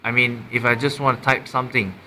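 A Malaysian man talks casually through a gadget demonstration in a medium-pitched, bright voice. He speaks haltingly, repeating words and correcting himself as he goes. The sound is amateur handheld camera audio from a small room, with slight background noise. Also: little pitch movement, rough recording. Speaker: amateur product reviewer. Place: small room indoors at a desk. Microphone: built-in mic of a handheld camera.

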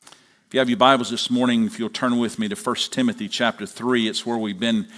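Middle-aged American pastor, halting and deliberate. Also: male voice, medium pitch, steady tone, fairly bright tone. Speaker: lead pastor preaching. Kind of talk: sermon with prayer and storytelling. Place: large church auditorium, on stage at a lectern.

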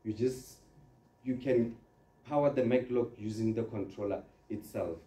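A man's voice: mid-range voice, measured pace. A man giving an informal training presentation on products, explaining step by step with everyday scenarios. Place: indoor presentation room with large screen. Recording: dark, echoey, distant room recording with noise.

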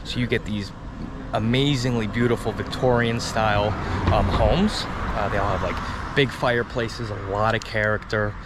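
A man's voice: on the low side, fairly even intonation, moderate pace. A man in his thirties giving a casual, chatty walking tour outdoors, filmed on a handheld camera at arm's length, with plenty of ums and you-knows. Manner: casual, chatty, many fillers. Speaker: travel vlogger. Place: outdoors on small-town streets. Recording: outdoor street noise behind.

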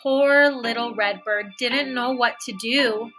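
Slow, high-voiced young woman reading a storybook. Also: fairly bright tone, faint music behind, low-quality recording. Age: young adult. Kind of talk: storybook read-aloud.

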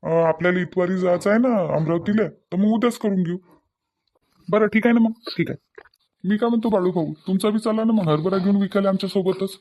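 High-voiced village farmer, acted by a man. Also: fairly quick, muffled recording. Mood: neighbourly. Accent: Varhadi (Vidarbha) Marathi. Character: friendly village farmer, practical family man. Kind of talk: cartoon village dialogue.

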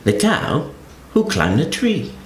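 Man storyteller for kids, very animated read-aloud, unhurried delivery, echoey room with faint hiss. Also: fairly high pitch. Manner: expressive, very animated storybook reading. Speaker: children's storyteller. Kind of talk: reading a picture book aloud to children.

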